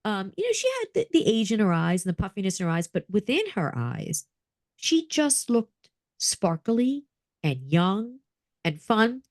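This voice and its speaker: A middle-aged woman co-hosting a casual chat with a friend, telling animated stories and laughing often. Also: mid-range voice, measured pace, no room sound, studio quality. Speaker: podcast co-host.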